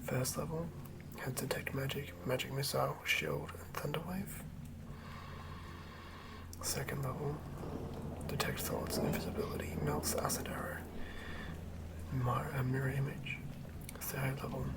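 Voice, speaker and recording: A person whispering breathily and deliberately close to the microphone, as the host of a fantasy game ASMR read-through. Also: medium pitch, vocal fry, far from the mic, poor audio. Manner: hushed, deliberate phrase-by-phrase reading. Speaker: host and game master reading a monster stat block.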